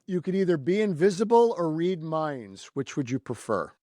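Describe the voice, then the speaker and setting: A man's voice: a little high, big pitch swings, moderate pace, dark tone, dry recording, clean studio sound. An American man hosting a casual interview chat, talking in an informal, jokey way. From US Midwest. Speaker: podcast host.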